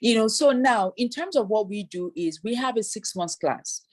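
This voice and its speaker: A woman's voice: a little high, expressive, moderate pace. A woman immigration consultant giving advice in an interview, explaining step by step and acting out what applicants say. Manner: explanatory, voices hypothetical applicants.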